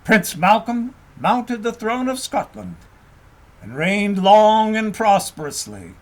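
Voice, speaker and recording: A man narrating a historical tale as an audiobook reader, in an expressive storytelling style. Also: high voice, slow.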